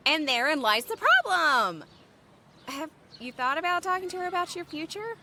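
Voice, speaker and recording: A woman playing a teasing, outspoken but supportive young female friend in an audio drama, expressive and blunt. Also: extremely high pitch, moderate pace, noisy background, heavily acted. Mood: sympathetic, prodding.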